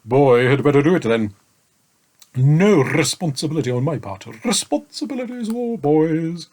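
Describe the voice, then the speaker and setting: A man's voice: on the high side, animated, moderate pace, a little mellow, voice pushed up. A man voicing a big, easygoing male dragon who murmurs sleepily and contentedly. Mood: drowsy.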